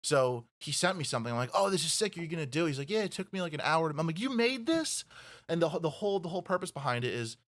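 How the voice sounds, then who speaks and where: A man's voice: on the high side, sing-song, rapid-fire, a bit bright. A man co-hosting a casual podcast chat, rambling with lots of swearing and laughter. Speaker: podcast co-host.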